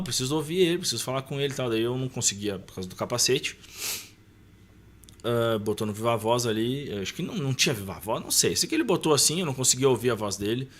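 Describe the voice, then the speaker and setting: A man with a southern Brazilian accent casually telling a personal story as a podcast guest, acting out dialogue as he goes. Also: mid-range voice.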